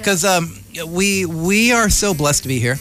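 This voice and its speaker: Male radio host, slightly high voice, effusive and informal interviewer, faint music underneath. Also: lively intonation, measured pace. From California, United States. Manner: chatty, jokey, slangy, effusive. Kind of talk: casual community radio interview.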